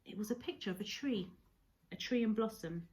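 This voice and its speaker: A woman reading a storybook aloud like a teacher to pupils, in a measured, expressive storytelling manner. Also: medium pitch, a little hiss or hum, low-quality recording. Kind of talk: read-aloud storybook narration.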